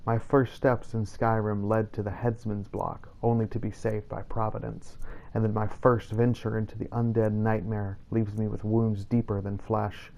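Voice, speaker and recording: Low, gravelly man narrating solemnly. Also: flat delivery, moderate pace, mellow, poor audio. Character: weary, self-doubting Redguard vampire hunter reading his journal. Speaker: host of a role-play game playthrough. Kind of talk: scripted in-character journal narration.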